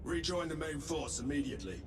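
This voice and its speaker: An actor playing a battle-hardened, imperious space marine in a video game, speaking in a terse, formal, forceful way. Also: male voice, on the high side, expressive, brisk, big-room sound, noisy background, close to an everyday voice. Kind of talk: video game cutscene acting. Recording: music behind the voice. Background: music.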